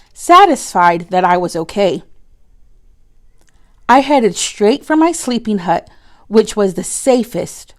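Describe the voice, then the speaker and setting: A woman narrating a children's storybook aloud, with expressive, deliberate phrasing for young listeners. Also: mid-range voice. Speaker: read-aloud storyteller reading a children's book.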